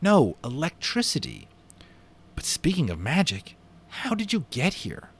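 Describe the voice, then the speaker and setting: A man's voice: on the high side, wildly swooping pitch, a little slow, vocal fry, slightly rough, pitched above the performer's own voice, exaggerated voice. A man voicing an eager, curious young boy in a storybook, speaking with friendly enthusiasm. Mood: earnest. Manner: enthusiastic, earnest.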